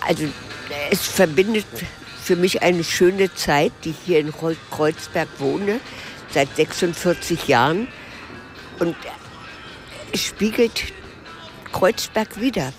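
A man's voice: high-pitched, slow pace, long pauses. An older man, a local resident, reflecting in an informal interview. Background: music.

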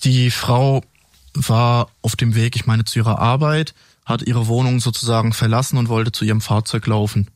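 A man's voice: mid-range voice, steady tone, normal speed, no room sound. The bilingual French-German policeman invited into a tight, dry podcast studio, a man carefully laying out a case piece by piece for the listeners. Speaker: police officer, interview guest. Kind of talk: recounting a case in a studio interview.

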